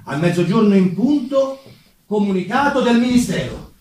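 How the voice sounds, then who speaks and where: A man's voice: high voice, sing-song, moderate pace, darkish tone, voice pushed up. A man playing a grandiose government minister in a stage monologue, proclaiming to the people in a pompous, declamatory way. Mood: solemn, rousing. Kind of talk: theatrical proclamation. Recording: very echoey room, distant mic, faint noise.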